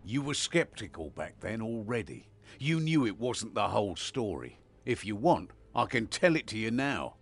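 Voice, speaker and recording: An actor playing an earnest, remorseful ancient warrior in a fantasy video game, with a creaky voice. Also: male voice, fairly low pitch, very animated, normal speed, hardly any pauses, faint music behind, heavily acted. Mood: earnest storytelling.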